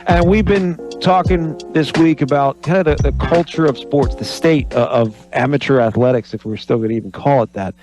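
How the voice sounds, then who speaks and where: A man in his forties co-hosts a sports radio show, thinking aloud in lively bursts with plenty of restarts. His voice sits at a middle pitch and is recorded dry and close in a studio. Faint music plays underneath. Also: dry recording, rough recording. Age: 40s. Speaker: radio co-host, college basketball analyst. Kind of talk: casual sports radio talk.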